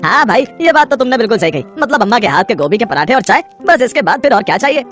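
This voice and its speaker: A woman's voice: on the high side, sing-song, quick pace, faint music behind. A woman voicing a friendly, chatty young male customer at a food stall in a story. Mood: pleased.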